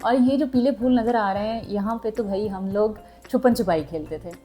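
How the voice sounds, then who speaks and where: A woman's voice: medium pitch, moderate pace, mellow, soft background music. A young woman from southern Punjab, chatting casually to her subscribers and mixing in English words. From Pakistan, southern Punjab. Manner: chatty, mixes in English words, frequent fillers. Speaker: vlogger.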